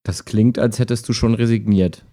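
A man playing a calm, helpful, lonely chat partner in an audio drama, with a measured, earnest delivery. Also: on the low side, close to an everyday voice. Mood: concerned, wistful. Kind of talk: amateur audio drama dialogue, read chat.

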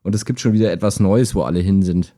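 A mellow, low-pitched male chatbot, acted by a man, wistful and skeptical. He talks like an ordinary human in an intimate radio play, understated and a little hesitant. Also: quick pace, lightly acted. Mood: pensive.

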